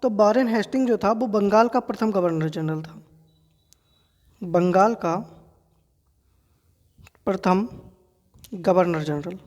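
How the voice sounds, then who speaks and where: A woman's voice: medium pitch, takes it slowly, pauses often, darkish tone. A woman with an Indian accent teaching an exam quiz lesson, didactically repeating key facts and posing questions to her students. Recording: long reverberant hall-like echo.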